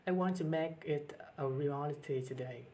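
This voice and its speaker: A middle-aged man with a Vietnamese accent, speaking earnestly to camera as a teacher with many pauses and restarts. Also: a little high, darkish tone, a little hiss or hum. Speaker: teacher and channel host. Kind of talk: personal talk to camera.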